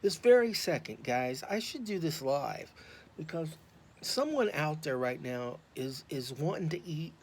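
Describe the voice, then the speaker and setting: An American man talking off the cuff to viewers, rambling and halting but earnest and informal. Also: fairly high pitch, lively intonation. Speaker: YouTube vlogger and podcaster on addiction recovery. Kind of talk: impromptu, unscripted casual monologue to viewers. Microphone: phone. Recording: clear background noise, raw phone recording.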